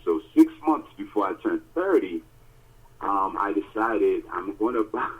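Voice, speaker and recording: Male podcast co-host, lively rambling storyteller, raspy voice, phone-quality audio. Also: medium pitch. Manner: animated, emphatic storytelling with many fillers. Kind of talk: casual phone chat. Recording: tinny phone line.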